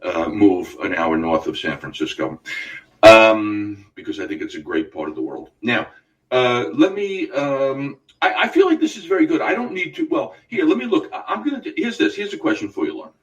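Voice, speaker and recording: New York man, halting radio host. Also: mid-range voice, very animated, far from the mic, highs cut off, faint music behind. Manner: halting, many fillers and restarts. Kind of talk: casual radio interview.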